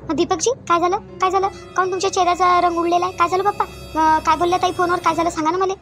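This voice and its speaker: A woman's voice: extremely high pitch, level tone, quick pace, a bit bright, soft background music. A woman playing a naive, plaintive young wife in a family drama, with a Varhadi Marathi accent. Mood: concerned. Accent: Varhadi Marathi of Vidarbha. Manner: plaintive, innocent. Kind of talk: amateur family comedy-drama dialogue.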